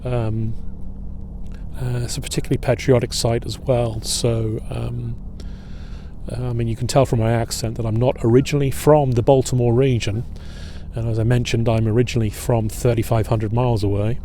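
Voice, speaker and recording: Mellow-voiced man guiding a history tour. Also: mid-range voice, slight background noise. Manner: relaxed, hesitant, with many filler pauses. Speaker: historian and battlefield guide. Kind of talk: outdoor guided history tour talk. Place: outdoors at a waterfront fort.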